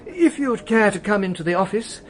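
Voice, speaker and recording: An actor playing a polite, slightly flustered British hotel duty manager in a radio drama, formal and hesitant. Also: male voice, high voice, lively intonation, fairly quick. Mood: courteous, flustered.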